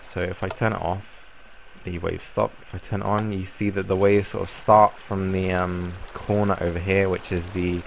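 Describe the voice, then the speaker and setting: Young man, very low voice, croaky from a cold, rambling, casual tutorial. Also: normal speed, few pauses, a little hiss or hum. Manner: relaxed, rambling explanation with fillers. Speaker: Minecraft YouTuber.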